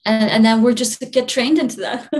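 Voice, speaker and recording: A young woman from Albania, a spiritual channeler, talking in a relaxed interview, soft-spoken with frequent laughs and restarts. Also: on the high side, moderate pace.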